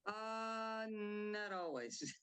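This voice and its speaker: An older woman playwright answering interview questions in a halting way, with fillers and laughs. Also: medium pitch, a bit bright, smooth.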